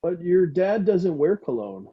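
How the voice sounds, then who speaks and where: A man's voice: high voice, very animated, a little slow, slightly rough, exaggerated voice. A man playing a sweet, dim, lovesick young slacker with slangy, earnest, boastful talk in a comedy table read. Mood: curious, chummy. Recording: dull, lo-fi video call sound.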